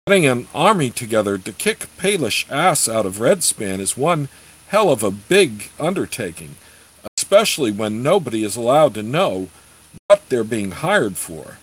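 A man's voice: medium pitch, big pitch swings, normal speed, slightly rough, rough recording. A man voicing a blunt, demanding mercenary captain with curt talk, in a storybook reading.

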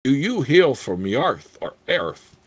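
Man putting on a fussy little gnome proprietor, swooping pitch. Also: sing-song, slow pace, dry recording. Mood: hurried. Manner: fussy, formal salesman's patter. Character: small old gnome proprietor, squeaky, eager, easily indignant. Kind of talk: fantasy storybook character reading.

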